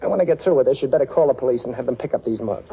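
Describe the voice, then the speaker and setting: A man's voice: very fast, husky. An actor playing a cool, wisecracking male amateur sleuth in a 1940s radio drama, breezy and self-assured. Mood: wry. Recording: muffled old radio recording, faint noise. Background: vehicle rumble.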